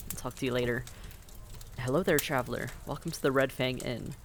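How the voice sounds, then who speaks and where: A man's voice: mid-range voice, fairly even intonation, a little fast, background noise, lightly acted. A man playing a friendly, reassuring vampire innkeeper in a fantasy audio roleplay, speaking courteously and conversationally to the listener. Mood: welcoming.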